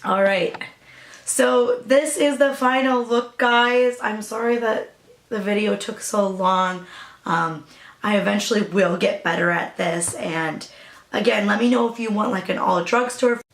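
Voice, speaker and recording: A young woman with a raspy voice, chatting casually through a makeup tutorial as a new beauty vlogger. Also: mid-range voice, unhurried, roomy sound. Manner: chatty, hesitant, with fillers and apologies.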